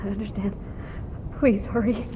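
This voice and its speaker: An actress playing a frightened, freezing survivor in an audio drama, pleading and shaken. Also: female voice, fairly even intonation, moderate pace, close to an everyday voice. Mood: shaken, anxious. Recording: muffled, long reverb, background rumble.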